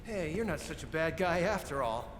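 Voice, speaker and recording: High, animated, homesick Soviet guard played by a man. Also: moderate pace, close to an everyday voice. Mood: earnest, friendly. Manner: earnest, hesitant, chatty. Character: friendly, lonely, homesick Soviet prison guard. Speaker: prison guard. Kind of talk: cinematic video game acting. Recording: echoey reverberant cell, faint noise.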